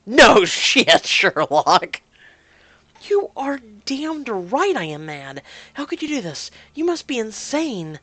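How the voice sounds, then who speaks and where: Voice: medium pitch, animated, normal speed, gravelly, few pauses, fairly bright tone, pitched above the performer's own voice. A narrator voicing a furious, hurt young woman, indignant and emphatic, in an audio drama. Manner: indignant, emphatic, emotionally volatile.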